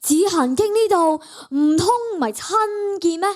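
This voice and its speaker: A woman's voice: extremely high pitch, animated, a little slow, darkish tone. A girl acts out a proud male court official from ancient China. The delivery is radio drama in Hong Kong Cantonese, with a child's youthful voice. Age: child, primary school student. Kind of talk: school radio drama acting.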